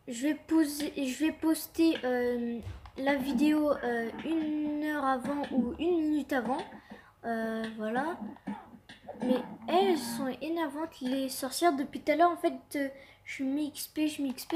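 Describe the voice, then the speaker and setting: A woman's voice: high-pitched, darkish tone, a little hiss or hum. A young woman hosting casual Minecraft commentary with a stuffy cold, hesitant and full of fillers and restarts. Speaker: gaming channel host.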